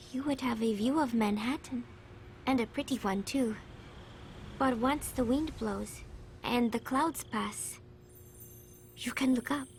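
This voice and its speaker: Casting: shy, guarded young female agent with schoolgirl air, game drama; high voice, slow hesitant delivery, noisy recording. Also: full character voice.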